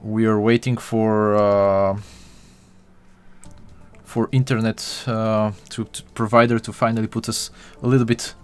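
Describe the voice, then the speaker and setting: Slow, hesitant man from Bulgaria. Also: fairly low pitch, level tone, smooth, soft background music. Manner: hesitant, many fillers and restarts, talks to his viewers. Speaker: 3D modeling tutorial streamer. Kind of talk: casual live-stream chat while modeling.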